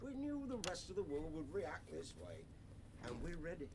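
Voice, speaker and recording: An actor playing a bluff, swaggering prime minister, who uses chummy slang. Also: male voice, a little high, very animated, normal speed, noisy background.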